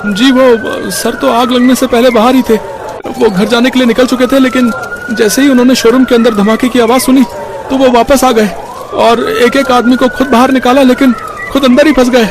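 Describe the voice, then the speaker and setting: A man's voice: extremely high pitch, flat delivery, normal speed, voice pushed up. A man voicing a flustered, deferential male employee in an audio story, respectful and urgent. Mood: alarmed.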